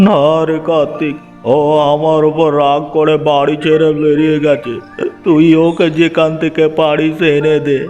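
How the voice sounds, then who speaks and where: A man's voice: on the high side, flat delivery, moderate pace, darkish tone, muffled recording, soft background music, natural voice. An actor voicing a frail, worried old grandfather in a cartoon, pleading and anxious. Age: older. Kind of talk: Bengali cartoon dubbing.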